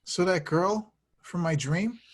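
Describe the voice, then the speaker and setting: A man playing an excitable, oblivious young slacker in love, slangy, in a comedy screenplay table read. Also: high voice, takes it slowly. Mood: dreamy, earnest.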